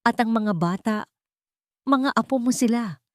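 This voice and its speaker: A woman's voice: on the high side, measured pace, dry recording, voice pushed up, lightly acted. A woman storyteller plays a wealthy man, a grown son who is earnest and defiant. The lines are firm and insistent in a radio-drama manner, recorded dry and close. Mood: angry, defiant. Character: earnest, frustrated rich adult son, defiant.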